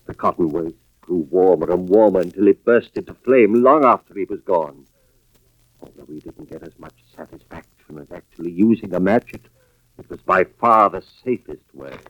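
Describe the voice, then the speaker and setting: A man playing a grave, suspicious husband and fire investigator in a mid-century radio drama, with deliberate, dramatic delivery. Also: sits low, no room sound. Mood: accusing, tense. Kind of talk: 1940s-50s radio drama acting, first-person narration. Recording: muffled vintage radio recording with music behind.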